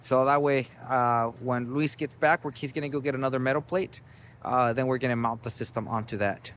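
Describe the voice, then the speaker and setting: A man's voice: mid-range voice, steady tone, a little fast, fairly bright tone. Man narrating a job site, background noise. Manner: informal, explanatory, addresses viewers directly. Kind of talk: casual on-site walkthrough. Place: work site, partly outdoors. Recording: noisy job-site ambience, vehicle noise.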